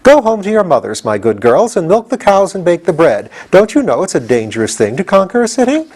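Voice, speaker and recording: A man's voice: sits high, big pitch swings, brisk, darkish tone, pitched above the performer's own voice. A man voicing a genial, easily astonished, fatherly gate guardian in an audiobook, with a pleasant, patronizing manner. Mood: flustered, scolding. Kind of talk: audiobook character voice.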